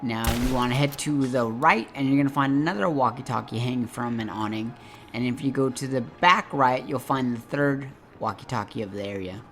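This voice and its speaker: Male game guide host, medium pitch, instructional and directive walkthrough delivery. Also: fairly quick, fairly bright tone, a little hiss or hum. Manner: step-by-step, directive.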